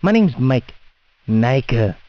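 A man's voice: medium pitch, sing-song, slow pace, dry recording. A man with a South African Indian English accent making a prank phone call in cheeky, rambling, slangy chat. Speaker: prank caller. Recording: dull lo-fi phone call audio.

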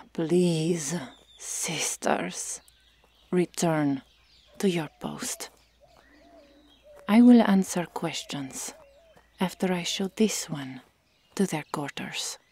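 A woman playing a stoic, stern Amazon warrior in an ASMR roleplay, hushed and curt, often whispering. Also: deep voice, drawn-out words, pauses often, slightly rough, full character voice. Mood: terse, exasperated. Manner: curt, formal, restrained.

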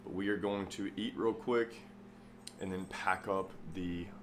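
A young man with a deep, low voice talks casually into his phone camera, loose and chatty, dropping "like" and "you know" often. He is recorded in a car with faint road and engine noise underneath. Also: steady tone, measured pace. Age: young adult. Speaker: fitness vlogger. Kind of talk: casual vlog talk to camera. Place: inside a car, then a home kitchen. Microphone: phone camera held or mounted nearby.

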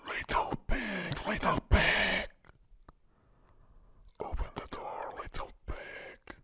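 A man voicing a menacing, taunting incubus demon in a drawn-out raspy whisper, with an Italian accent, for an ASMR horror roleplay. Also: sharp tone. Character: menacing male incubus demon stalking his prey.

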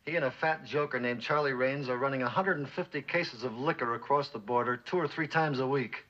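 Guy playing a bossy ex-army captain running police, american, hard bright edge, needles people, muffled old drama soundtrack. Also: medium pitch, brisk, lightly acted. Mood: grim, intent. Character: brash, cynical former army captain turned police boss. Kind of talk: mid-century American suspense drama acting.

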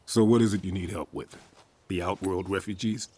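A man playing a gruff, guarded retired soldier turned farmer in a video game, husky, terse and skeptical. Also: low voice, steady tone, normal speed, a little mellow. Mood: grave. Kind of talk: video game cutscene acting.

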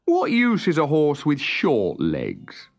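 A storyteller voicing a gentle short-legged horse in a children's storybook, speaking slowly and plaintively. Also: medium pitch, wildly swooping pitch, breathy edge, soft background music, voice pushed up, heavily acted. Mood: sad. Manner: slow, plaintive.

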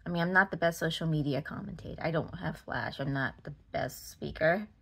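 A woman in her forties speaks in a fairly low voice with a Boston accent. She rants casually to camera, frustrated and emphatic, repeating phrases to drive them home. It sounds like a phone held at arm's length indoors. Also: a little fast, gravelly, breathy edge. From Massachusetts, United States. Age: 40s. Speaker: vlogger. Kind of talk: casual opinion rant to camera.

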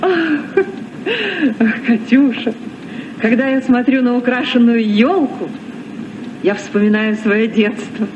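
An actress playing a kindly, sentimental woman librarian in an old children's radio play, with an expressive theatrical delivery. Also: on the high side, takes it slowly, pauses often, distant mic, noisy background. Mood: dreamy wonder. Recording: muffled old radio recording, music behind.

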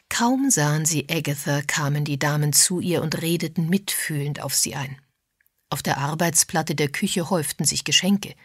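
A woman's voice: sits low, slightly rough, no room sound, clean studio sound. A woman reading a mystery novel as a scripted audiobook narration.

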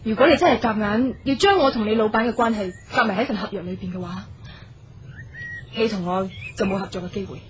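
A woman's voice: mid-range voice, fast talker, muffled recording, background noise, lightly acted. A woman playing a guarded, proud daughter in a radio drama. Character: guarded, proud woman hurt by her father. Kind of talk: Cantonese radio drama acting. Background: music.

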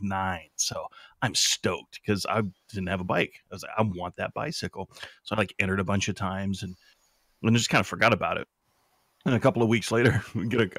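A man's voice: fairly low pitch, fairly bright tone, no echo. An American man from rural eastern Washington in casual storytelling chat as a podcast panelist. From rural eastern Washington, United States.

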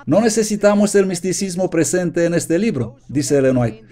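Earnest man preaching in a mellow voice. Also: high-pitched, lively intonation, normal speed. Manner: earnest, emphatic, reading quotations aloud. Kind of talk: sermon read from notes, Spanish voice-over.

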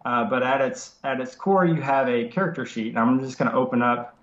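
A man in his thirties with a nasal voice from a cold, explaining software casually over a video call from his home study, with many fillers and restarts. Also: mid-range voice, a little fast. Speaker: software developer guest. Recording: roomy lo-fi video call audio.

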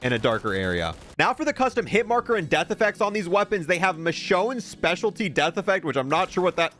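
A man's voice: sits high, sing-song, brisk, fairly bright tone. A man giving casual, conversational commentary as the host of a gaming review, thinking aloud as he goes. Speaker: gaming video host reviewing a cosmetic bundle. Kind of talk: casual video game commentary.

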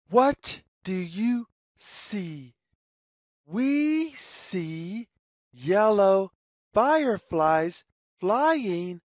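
A woman reads a picture book aloud for young children at an extremely slow pace. Her pitch swings widely in a sing-song pattern, with deliberate pauses between phrases. The recording is dry and close, with little sense of a room. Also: a little mellow, no echo. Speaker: storyteller reading aloud to children.

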